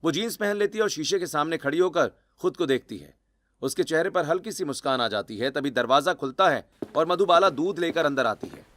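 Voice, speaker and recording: A man's voice: on the high side, lively intonation, fairly quick, fairly bright tone. A man narrating a scripted dramatic story in the present tense.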